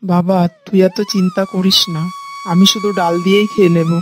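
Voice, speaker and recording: A woman voicing a gentle, long-suffering elderly mother-in-law in a cartoon, placating and resigned. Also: fairly low pitch, fairly even intonation, brisk, music in the background, close to an everyday voice. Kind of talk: cartoon moral story voice acting.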